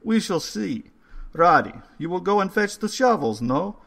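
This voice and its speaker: A man's voice: sits high, big pitch swings, gravelly, a bit bright, breathy edge, pitched above the performer's own voice, heavily acted. Man putting on a creepy old undertaker. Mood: ominous. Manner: formal, slow and ominous. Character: old Hungarian male undertaker, courtly and eerie.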